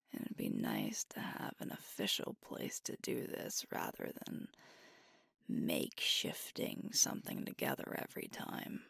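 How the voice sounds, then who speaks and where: An affectionate, teasing werewolf girlfriend, voiced by a woman in an intimate ASMR roleplay. Her soft voice is very low and full of creak, recorded close and dry. She lingers tenderly over her words with playful pauses. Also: no room sound, exaggerated voice. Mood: cozy, wistful. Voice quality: soft, breathy, whispery. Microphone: close microphone.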